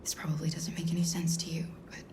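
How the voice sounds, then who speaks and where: A woman playing a gentle, protective female android in a cinematic video game, speaking softly and earnestly. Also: low voice, monotone, quick pace, in a whisper, natural voice. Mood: tender, earnest.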